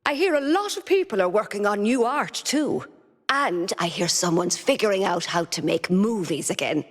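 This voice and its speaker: A woman acting a friendly robot citizen in a video game, warm and lightly teasing, with a reverberant sound. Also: medium pitch, lively intonation, moderate pace, lightly acted. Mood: cheerful. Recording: long reverberant tail.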